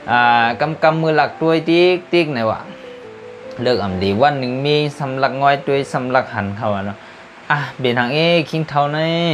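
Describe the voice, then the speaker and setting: Man, storyteller, folk tale, rambling, filler-heavy, middle pitch, faint music.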